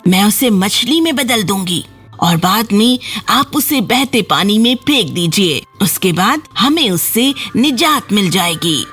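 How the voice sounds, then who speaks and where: A woman narrator plays a scheming sorceress wife in a children's cartoon fairy tale. She lays out her plot with animated intonation, with faint music behind her. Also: medium pitch, breathy edge. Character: scheming sorceress wife plotting against her brother-in-law.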